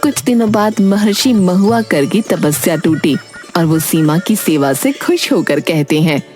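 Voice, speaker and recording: A woman's voice: fairly low pitch, expressive, unhurried, a little mellow. A woman narrating a scripted fairy tale as a storyteller. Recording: music behind the voice. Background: background music.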